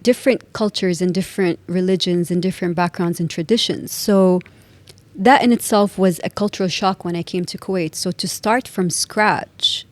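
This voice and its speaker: Earnest woman, low voice, dry studio sound. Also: no echo. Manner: earnest, thoughtful, speaks in measured repeated phrases. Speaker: podcast guest. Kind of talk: reflective podcast conversation.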